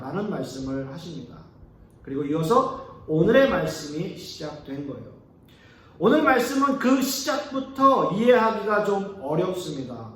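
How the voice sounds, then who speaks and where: High-voiced Korean pastor in an echoing hall. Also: male voice. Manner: earnest storytelling, acts out the disciples' voices. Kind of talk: sermon. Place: church sanctuary. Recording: very echoey church hall, voice far from the mic.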